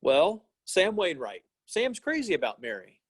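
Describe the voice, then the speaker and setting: A man's voice: sits high, huge pitch swings, measured pace, slightly rough, no room sound, studio quality, heavily acted. A man playing an earnest, idealistic young small-town fellow in an old-time radio play, plain-spoken and given to repeating himself. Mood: wry, subdued.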